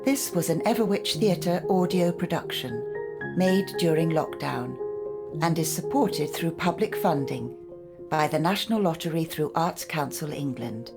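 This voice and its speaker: A woman's voice: low-pitched, expressive, measured pace, over loud music. A woman announcer reading scripted credits for an audio play. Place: close voice recording with music behind.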